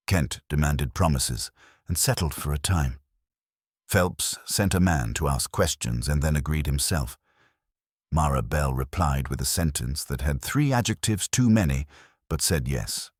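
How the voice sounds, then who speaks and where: A man reading a first-person detective story as narrator, in a dry, measured noir manner. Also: rumbling low, no echo.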